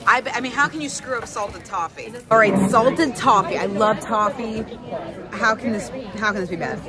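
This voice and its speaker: A woman in her thirties hosting a casual food review vlog, chatty and lively, filmed at arm's length in a busy indoor restaurant. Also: on the high side. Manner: chatty, lively, quick asides and laughs. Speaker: food vlogger host. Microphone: phone or camera at arm's length. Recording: noisy crowded restaurant. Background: crowd chatter.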